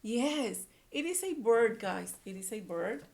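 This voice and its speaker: Slow, animated woman teaching young readers. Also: on the high side, vocal fry. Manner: repeats words and phrases, coaxing listeners with questions. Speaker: early-grade reading teacher. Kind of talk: read-aloud lesson for young children.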